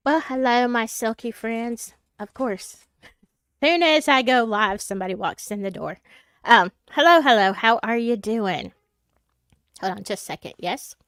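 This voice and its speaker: A woman's voice: on the high side, lively intonation, measured pace, a bit bright, clear, resonant tone, no echo, studio quality. A woman with a Southern US accent who hosts a true crime livestream. She sounds congested from a sinus infection and talks in a chatty, rambling way, full of fillers and asides. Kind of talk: casual livestream chat.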